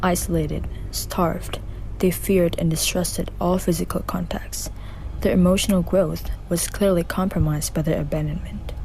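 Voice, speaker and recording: A woman's voice: on the low side, steady tone, moderate pace, vocal fry, mellow, a little hiss or hum, poor audio. A woman narrating a student radio drama in a careful, plain reading with slight grammar slips.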